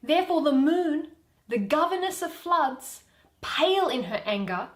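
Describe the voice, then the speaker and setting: A woman voicing a proud, indignant fairy queen in measured, declamatory Shakespearean verse. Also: high voice, animated, echoey room, pitched above the performer's own voice. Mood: aggrieved.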